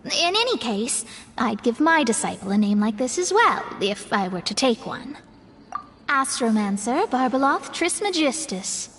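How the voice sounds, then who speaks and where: Game astrologer girl: proud, self-assured, high and animated, music underneath. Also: a little slow, slight background noise. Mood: proud, explaining. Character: proud young female astrologer, chatty and matter-of-fact. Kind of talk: video game voice acting.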